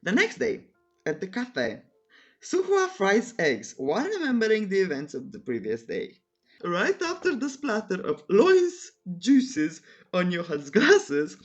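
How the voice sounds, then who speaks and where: A narrator reading a scripted comic recap in a playful, teasing, theatrical way, with humorous asides. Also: mid-range voice.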